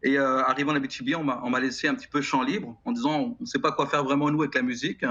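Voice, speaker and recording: A middle-aged man with a French accent answers interview questions earnestly, halting and repeating words as he thinks. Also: medium pitch, fairly even intonation, bright, forceful tone, breathy edge. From France. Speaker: guest, music educator. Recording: slightly dull broadcast sound.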